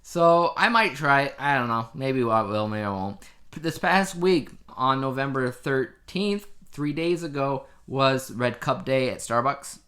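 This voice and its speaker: Male podcast host, average pitch, loose conversational news chat, wry and rambling. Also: animated, measured pace, fairly bright tone.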